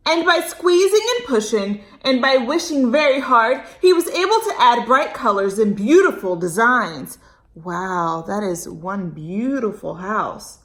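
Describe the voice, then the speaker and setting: A woman with a fairly high voice reads a storybook to young children. Her delivery is expressive, with stretched emphasis on key words, and she talks straight to her small listeners as a storyteller would. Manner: animated, drawn-out emphasis, addresses young listeners directly. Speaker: storyteller reading a picture book aloud to children. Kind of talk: expressive read-aloud with chatty asides and questions.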